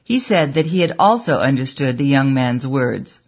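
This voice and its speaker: An audiobook narrator reading a story in a measured, even way, on a dull, lo-fi recording. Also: medium pitch, slightly rough. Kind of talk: scripted narration.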